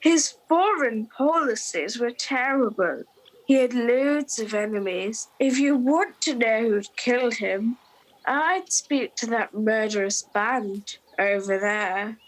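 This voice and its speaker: A woman acts a dubious priest in a radio comedy, breezy and slangy. The high voice takes its time, dodging along in an offhand, evasive way. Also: expressive, takes it slowly, low-quality recording. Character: shifty, flippant fake priest, evasive and breezy. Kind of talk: comedy radio play dialogue.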